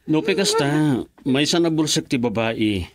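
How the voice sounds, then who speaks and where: A man's voice: on the high side, animated, moderate pace, crowd noise, close to an everyday voice. A man with an Ilocano accent acting a sober doctor in a radio drama. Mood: grave. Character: sober male doctor breaking grim news.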